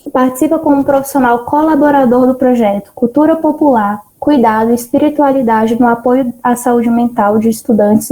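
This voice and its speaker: A woman's voice: a little high, dark tone, low-quality recording. A woman with a Brazilian accent, formally introducing a speaker as moderator of an online meeting over a video call.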